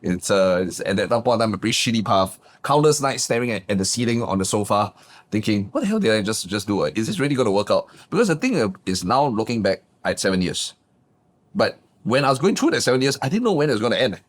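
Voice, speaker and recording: A man, a guest business coach telling his backstory in a lively, emphatic way. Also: quick pace. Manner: animated, emphatic, swings pitch up for punchlines. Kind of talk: casual interview storytelling.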